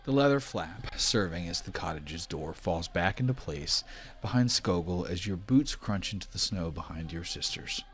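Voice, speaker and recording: Male game master, very deep register, measured scene-setting and questions for a tabletop fantasy game. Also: expressive. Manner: measured, descriptive storytelling. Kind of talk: narrating a tabletop role-playing game, prompting players.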